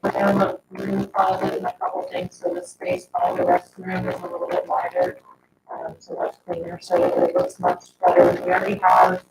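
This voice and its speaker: A man's voice: rumbling low, huge pitch swings, normal speed, vocal fry. A man giving an informal staff update at a board meeting. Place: indoor town board meeting room. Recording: very noisy, echoey room, distant mic.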